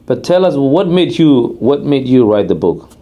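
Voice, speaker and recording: Mellow-voiced podcast host man. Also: medium pitch, sing-song, normal speed, muffled recording. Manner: friendly, loose, says you know a lot. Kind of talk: casual podcast interview.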